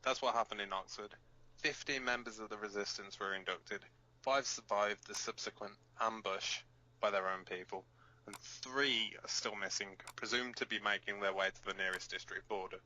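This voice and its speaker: A man's voice: on the low side, fairly even intonation, moderate pace, sharp tone, lo-fi sound. A man playing a blunt, cynical resistance fighter who co-hosts an underground broadcast in an audio drama. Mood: bitter, angry. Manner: blunt, sardonic. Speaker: radio show co-host.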